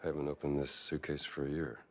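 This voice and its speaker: An actor playing a rugged, stubborn American adventurer and professor, speaking in a terse, dry, clipped way in a cinematic action game. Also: male voice, rumbling low, flat delivery, fast talker, slight background noise. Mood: grim, low.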